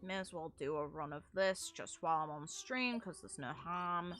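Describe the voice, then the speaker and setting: A woman's voice: deep voice, moderate pace, fairly bright tone. A woman streaming a video game, talking casually to chat and reading out lore. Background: faint game music.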